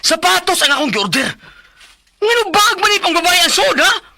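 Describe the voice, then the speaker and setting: A man's voice: very high voice, very animated, moderate pace, gravelly, sharp tone, no echo, heavily acted. An actor with a Cebuano Filipino accent playing a hot-tempered, suspicious and abusive male customer in a radio drama, confrontational and accusing. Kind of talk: Bisaya radio drama acting.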